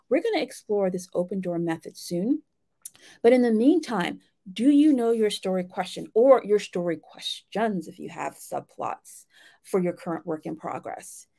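A woman's voice: medium pitch, warm tone. A woman giving a casual instructional talk as a writing coach, speaking directly and encouragingly to her listeners in a conversational way.

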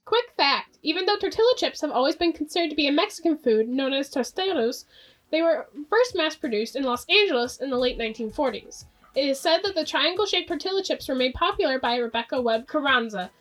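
A woman presenting scripted quick facts on a podcast. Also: high voice, lively intonation, fairly bright tone. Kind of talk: scripted fact reading. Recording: distant from the microphone.

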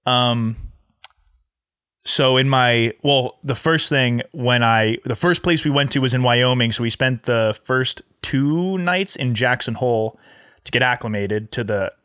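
A man's voice: medium pitch, measured pace, fairly bright tone, smooth, no echo, studio quality. A young American man telling anecdotes in a rambling, informal way as a podcast co-host. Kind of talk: casual anecdotal chat.

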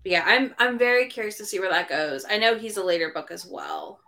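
Casting note: woman podcast host, bright, forceful tone, chatty and self-correcting. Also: medium pitch, expressive, a little fast. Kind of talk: casual book discussion.

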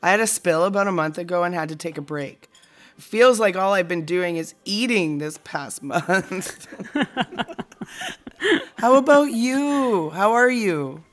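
A woman's voice: fairly low pitch, unhurried, close to an everyday voice. A woman acting in an audio drama as an opinionated, plainspoken friend in casual conversation. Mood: breezy. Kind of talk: naturalistic audio drama dialogue.

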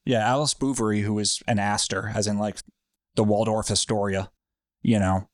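A man's voice: on the low side, flat delivery, moderate pace, dry recording, studio quality. A man hosting a podcast, telling a story in a loose, rambling, profane way with lots of fillers. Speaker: podcast host. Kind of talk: casual storytelling chat.

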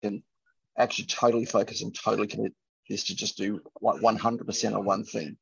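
Gravelly, muffled man telling stories. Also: lowish voice, level tone, quick pace, no room sound. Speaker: entrepreneur panelist. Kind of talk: conversational panel storytelling. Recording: lo-fi, muffled audio.

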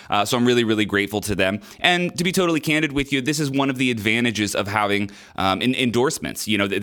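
A man's voice: medium pitch, a bit bright. Nasal man with a sinus infection. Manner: candid, with frequent fillers and repeated words. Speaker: podcast host and drummer. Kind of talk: casual podcast monologue.

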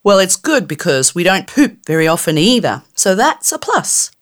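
A woman's voice: lowish voice, big pitch swings, moderate pace, pitched above the performer's own voice. A woman voicing a tiny rude immortal fairy, crude and impatient. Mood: cranky, cheeky.

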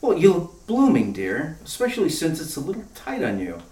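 A woman playing a low-voiced, wisecracking male detective. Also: wildly swooping pitch, fairly quick, mellow. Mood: teasing. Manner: teasing, breezy quips. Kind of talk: 1940s radio acting. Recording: roomy echo from far off the mic.

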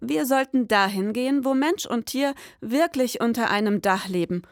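An actress playing a precise, sober detective in a scripted noir audio drama, speaking in formal, matter-of-fact wording. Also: female voice, a little high, normal speed, no echo, studio quality, lightly acted.

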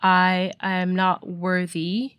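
Woman from Nigeria, lowish voice, dry sound, reflective and emphatic, podcast guest. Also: completely flat delivery, slow pace, a bit bright, dry recording. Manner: reflective, emphatic, many fillers. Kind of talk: conversational discussion.

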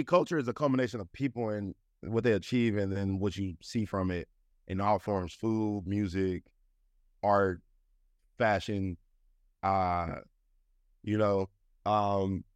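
A man's voice: on the low side, no echo, studio quality. A man in his thirties, a guest in a casual interview, talking loosely with lots of "you know" and "like" fillers. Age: 30s.

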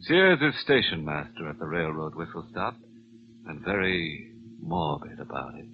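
An actor playing a ghoulish, mock-cordial horror host with macabre relish on an old radio show. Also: male voice, rumbling low, animated. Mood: ominous relish. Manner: slow, drawn-out, chuckling, swooping. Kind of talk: 1940s radio horror hosting. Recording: tinny, noisy old radio recording.

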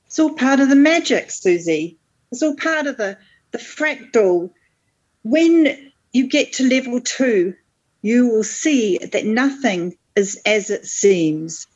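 Woman as a slow, portentous mentor witch. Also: a little high, expressive, darkish tone, breathy edge, dull audio, exaggerated voice. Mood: mystical. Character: cryptic, solemn mentor witch professor, mystical. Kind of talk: improvised comedy audio sketch.

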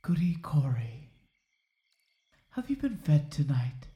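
A dutiful but uneasy male Puritan sheriff in a period audio drama. He speaks slowly and formally in a soft, dark tone within an echoing room. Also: fairly high pitch, very animated. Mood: restrained, coaxing. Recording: roomy, echoing sound.

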